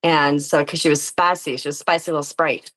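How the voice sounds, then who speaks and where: A woman's voice: sits low, flat delivery, fairly quick, fairly bright tone. A woman chatting casually as an interview guest, informal and self-effacing. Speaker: interview guest, tabletop game performer. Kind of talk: casual chat.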